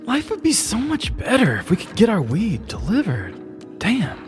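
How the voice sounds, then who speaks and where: Woman acting as dreamy stoner husband, comic podcast story, very animated swooping pitch, drawn-out delivery, faint music behind.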